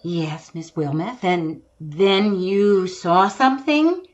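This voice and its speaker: A woman's voice: on the low side, slow, muffled recording. A woman playing an earnest female radio news reporter in a 1930s-style broadcast drama, describing a scene with dramatic deliberation. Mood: politely impatient. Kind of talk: 1930s radio newscast acting.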